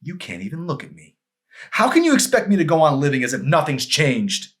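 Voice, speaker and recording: A man voicing a desperate, anguished husband and fallen pastor, emphatic and often shouting, in an audiobook. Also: on the high side, wildly swooping pitch, brisk, voice pushed up. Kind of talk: dramatic audiobook character acting.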